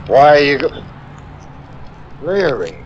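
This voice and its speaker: Tough, high-pitched hostile cop. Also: male voice, big pitch swings, slow pace. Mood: threatening. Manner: gruff bullying slang. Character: tough, hostile, trigger-happy male policeman. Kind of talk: old-time radio crime acting. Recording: dull old radio recording, faint noise.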